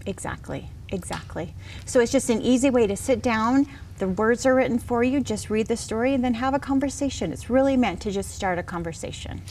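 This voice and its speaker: A woman's voice: a little high, lively intonation, a little fast, darkish tone, a little hiss or hum. A middle-aged woman speaking as an author guest in a TV interview, earnest and reassuring as she tells personal stories. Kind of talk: conversational TV interview, personal storytelling.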